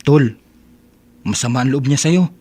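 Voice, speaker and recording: An actor playing a loyal young student, gentle and concerned, in a casual radio drama scene. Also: male voice, mid-range voice, unhurried, breathy edge. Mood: concerned, friendly.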